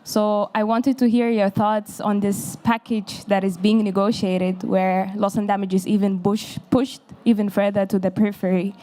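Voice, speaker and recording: A woman's voice: mid-range voice, flat delivery, moderate pace, hardly any pauses, dark tone. A woman from an NGO asking a polite, articulate question at a conference session. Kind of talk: audience question. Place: conference pavilion.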